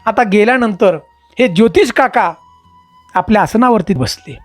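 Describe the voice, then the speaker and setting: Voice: medium pitch, lively intonation, normal speed, no echo, faint music behind. A storyteller narrating a moral religious tale emphatically, repeating phrases and addressing listeners directly.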